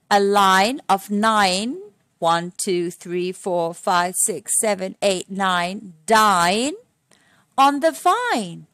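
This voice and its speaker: A woman's voice: on the high side, a bit bright, dry recording, studio quality. A woman reading a picture book aloud as a phonics lesson for young children, teacherly, setting out words one by one and putting questions to her listeners. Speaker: children's phonics storytime reader.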